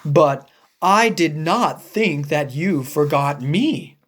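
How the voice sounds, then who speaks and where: A woman voicing a big, gentle, worried elephant in a storybook read-aloud, speaking low and carefully. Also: animated, slow, slightly rough, full character voice. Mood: fretful.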